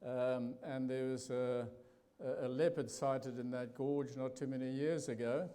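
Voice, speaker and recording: An older man with a South African accent giving a testimony talk in church, pausing often with fillers and restarts. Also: mid-range voice, steady tone, mellow. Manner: halting, many fillers and restarts. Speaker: guest preacher.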